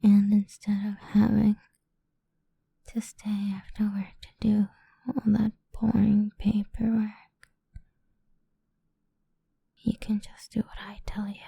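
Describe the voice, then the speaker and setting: A woman playing a sadistic, domineering female boss in a whispered ASMR roleplay, taunting and condescending in a soft breathy voice. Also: medium pitch, no room sound, highs cut off. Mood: coolly persuasive.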